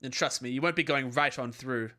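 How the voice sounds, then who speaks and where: A man voicing a calm, sardonic, bookish teenage girl who speaks in a dry, measured, wordy way. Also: medium pitch, close to an everyday voice.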